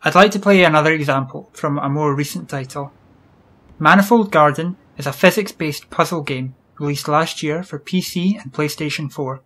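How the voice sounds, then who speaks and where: A British man hosting an educational video, reading scripted explanations in a measured, instructional way. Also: a little high, a bit bright. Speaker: video series host. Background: music.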